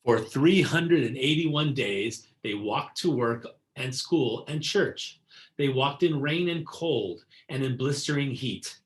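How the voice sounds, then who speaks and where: Male read-aloud narrator, mid-pitched voice, children's storybook, deliberate phrasing, emphasized key words. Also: expressive. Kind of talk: scripted picture-book read-aloud for children.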